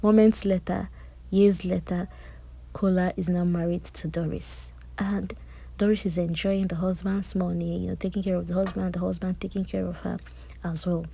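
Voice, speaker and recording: A woman gives a chatty, animated recap of a reality-show drama, with personal asides. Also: on the low side, moderate pace, gravelly, breathy edge. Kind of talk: casual recap commentary.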